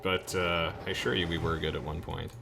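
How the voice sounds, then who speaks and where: A man's voice: deep voice, level tone, brisk, creaky, background noise. A young man giving casual, chatty, enthusiastic game commentary as host. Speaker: host of a let's play.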